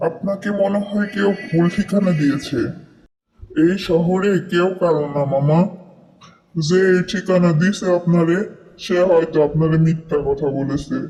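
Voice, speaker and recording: A man's voice: high voice, a little mellow. A man voicing a blunt, world-weary city auto-rickshaw driver in a cartoon, frank and cautioning, with reverberant sound. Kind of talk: cartoon dialogue. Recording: long reverberant hall echo.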